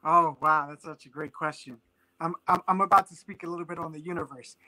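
A man in his thirties, a native Spanish speaker, answers thoughtfully with a very bright, high voice. He speaks over a video call webcam from a small room at home. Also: fairly even intonation, a little fast, poor audio. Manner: thoughtful, with frequent fillers and restarts. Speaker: guest artist. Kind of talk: casual interview answers.

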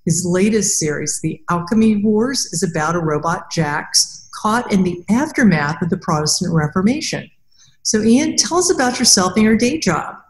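A woman's voice: medium pitch, animated, moderate pace. A middle-aged woman interviewing conversationally as a podcast host over a video call. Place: indoor room, video call. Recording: roomy, echoing video-call sound.

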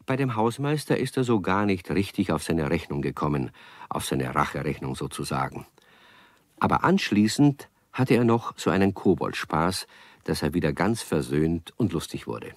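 A man's voice: deep voice, sing-song, moderate pace, no room sound, studio quality. A man playing the amused narrator of a children's radio play, telling the story in a scripted storybook style.

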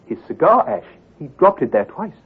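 An actor playing an earnest, troubled country doctor who speaks gravely and precisely, with some hesitation, in old-time radio drama style. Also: male voice, a little high, big pitch swings, moderate pace, full character voice. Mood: brisk answering. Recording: muffled, husky old radio recording.

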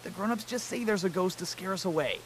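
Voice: mid-range voice, animated, fast, a bit bright, slight background noise, natural voice. An actor voicing a bossy, scornful village boy in a video game.

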